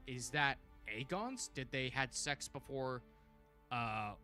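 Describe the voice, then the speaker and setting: A man's voice: mid-range voice, slow, faint music behind. A man giving casual, exclamatory fan commentary as a livestream host, his voice tiring as he goes. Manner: animated, exclamatory, informal. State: voice tiring, frequent throat clearing. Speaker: livestream host reviewing a TV series.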